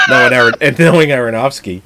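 A man's voice: mid-range voice, wildly swooping pitch, moderate pace, very noisy. A man co-hosting a casual movie podcast, joking crudely and laughing often as he chats about films. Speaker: podcast co-host.

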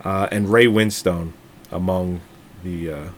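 Laughing, crude podcast co-host, lower voice. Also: male voice, steady tone, a little slow. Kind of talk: casual movie chat.

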